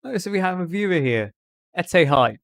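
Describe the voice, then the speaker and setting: A man's voice: high voice, animated, a little fast, gravelly, a bit bright, no echo, clean studio sound. Man streaming in a put-on RP British accent. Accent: put-on British Received Pronunciation. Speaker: live streamer. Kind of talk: casual livestream chat to viewers.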